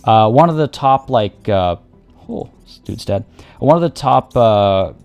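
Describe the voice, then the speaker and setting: A man's voice: lowish voice, expressive, unhurried, darkish tone, highs cut off, soft background music. A young man streaming a game from his home setup, his voice getting worn, rambling through casual commentary with lots of fillers and restarts.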